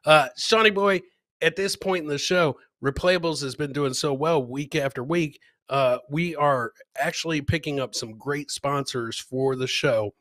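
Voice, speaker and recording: Bright-voiced middle-aged man hosting comedy. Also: on the high side, unhurried, no room sound, clean studio sound. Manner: jokey, repeats his phrases. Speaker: comedy show host. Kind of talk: casual live commentary on viral clips, chatting with viewers. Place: indoor home studio, webcam livestream.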